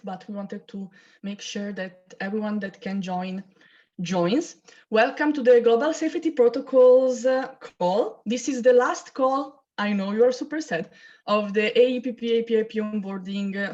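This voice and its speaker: A young woman hosts an online onboarding session, talking in an informal, chatty way and laughing often. Her voice sits a little high. It comes through a video call with slightly muffled sound. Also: a little mellow. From Italy. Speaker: team leader hosting an onboarding call. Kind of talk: casual online presentation.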